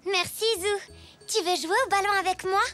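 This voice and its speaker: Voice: very high voice, steady tone, normal speed, over music. A performer voicing a friendly little girl zebra in a children's cartoon. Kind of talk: French children's cartoon dubbing.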